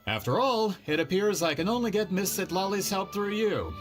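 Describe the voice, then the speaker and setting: A man voicing a polite, measured young scholar who collects stories in a video game. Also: sits high, sing-song. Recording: game cutscene with faint music.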